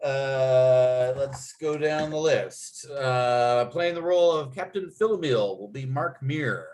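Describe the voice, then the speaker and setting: A man's voice: mid-range voice, takes it slowly, darkish tone. A man acting as narrator at a table read, reading out script and stage directions.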